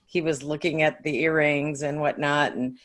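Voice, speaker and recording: A woman's voice: sits low, steady tone, moderate pace. A woman co-hosting a casual interview, chatty, agreeable and complimentary. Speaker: interview co-host.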